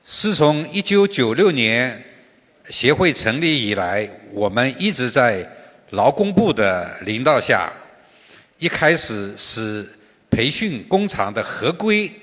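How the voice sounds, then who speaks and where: An older man, a native Chinese speaker, answers panel questions slowly and haltingly. His pitch moves around a lot. His voice rings out in a reverberant conference hall. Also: big pitch swings, a bit bright. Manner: slow and halting, with many fillers. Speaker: industry association chairman.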